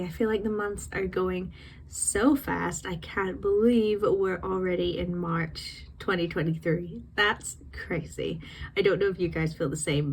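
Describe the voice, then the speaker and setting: A woman's voice: medium pitch, slight background noise, poor audio. A young woman hosting her own podcast, chatting in a rambling, unscripted way with frequent laughs, a bit sniffly from a cold. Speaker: podcast host. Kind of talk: casual unscripted chat.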